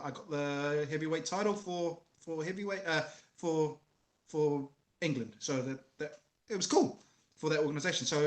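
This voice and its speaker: A man in his thirties from New Zealand with a New Zealand accent, telling his story as a guest on a video call in a relaxed, joking way. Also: fairly high pitch. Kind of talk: casual interview. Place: small room at home, video call. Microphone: headset earphones, webcam. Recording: roomy video call audio.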